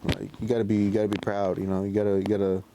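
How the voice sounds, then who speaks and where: A man's voice: fairly low pitch, flat delivery, rapid-fire, crowd noise, rough recording. A man in casual, slangy podcast chat, repeating phrases and often checking that listeners follow him.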